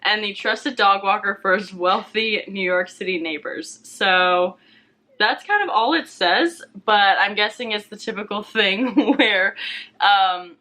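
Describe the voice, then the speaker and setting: A woman's voice: mid-range voice, a little slow, pushed and bright. A young woman chatting casually about books as a vlog guest, with informal, tentative phrasing. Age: young adult. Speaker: guest co-host on a book vlog. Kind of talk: casual book chat.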